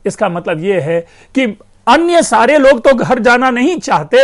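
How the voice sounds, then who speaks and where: A man's voice: very high voice, big pitch swings, unhurried. A man lecturing on linguistics in an explanatory classroom manner, addressing his listeners as friends and stressing words to show meaning. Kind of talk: educational lecture.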